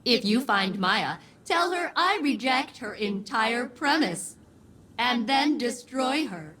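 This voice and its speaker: An actress playing a precise, opinionated artificial intelligence in a video game, formal and wry. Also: female voice, fairly high pitch, lively intonation, slow, bright, forceful tone, slight background noise, heavily acted. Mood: defiant, brisk. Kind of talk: scripted video game acting.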